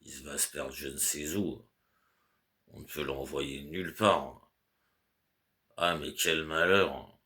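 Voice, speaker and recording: A man's voice: rumbling low, fairly even intonation, slow pace, long pauses, pushed and bright, heavily acted. A man reading aloud and voicing a fussy, anxious middle-aged clerk who stammers and over-explains his orders. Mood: fretting. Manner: flustered, repeats fillers, fussy over-explaining. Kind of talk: audiobook character reading.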